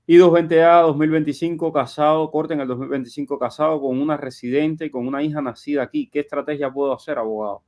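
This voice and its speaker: A man's voice: fairly high pitch, fairly even intonation, fast, few pauses, a little mellow. A man hosting a live question-and-answer show, reading audience questions aloud in a conversational way. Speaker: live show host and journalist.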